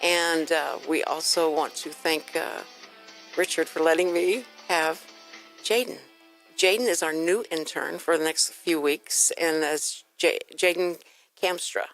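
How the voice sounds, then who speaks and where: A woman's voice: deep voice, a little slow, fairly bright tone, faint music behind, low-quality recording. A woman hosting a casual radio interview, chatty and effusive with exclaiming reactions. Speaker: radio show host. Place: radio studio.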